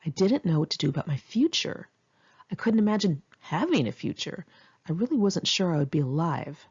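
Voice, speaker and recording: A woman's voice: lowish voice, very animated, brisk, darkish tone, breathy edge, muffled recording. An American woman reading her own memoir as a first-person audiobook, in a candid, informal and dramatic storytelling manner.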